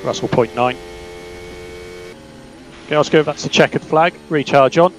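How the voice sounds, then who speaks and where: A man working as a race engineer, giving calm, measured, clipped instructions over team radio. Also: a little high. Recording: noisy radio link with car hum. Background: car engine hum.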